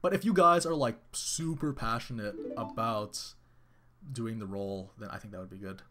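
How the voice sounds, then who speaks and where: A man chatting casually to viewers on a live game stream, excitable and rambling, with many restarts. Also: fairly low pitch, moderate pace, faint music behind. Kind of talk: casual live-stream chat while playing.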